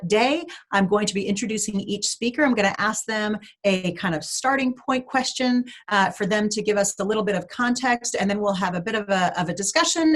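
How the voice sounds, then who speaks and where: A woman hosts an online webinar over a video call. Her delivery is upbeat and lightly scripted, with the odd filler. Her voice sits at a middling pitch for a woman. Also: fairly bright tone. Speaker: webinar host. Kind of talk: hosting a webinar.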